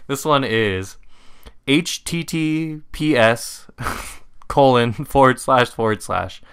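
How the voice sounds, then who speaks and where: A man's voice: medium pitch, lively intonation, takes it slowly, fairly bright tone, clear, resonant tone. A man co-hosting a casual podcast, reading comments aloud for a guessing game and laughing often. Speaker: podcast co-host running a quiz segment.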